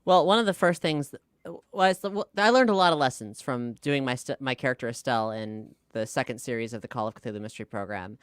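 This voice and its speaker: Woman, low smooth clear voice, informal podcast guest, thoughtful, hesitant phrasing, dry sound. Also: brisk, dry recording. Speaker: podcast guest, audio drama creator. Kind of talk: casual, reflective interview chat.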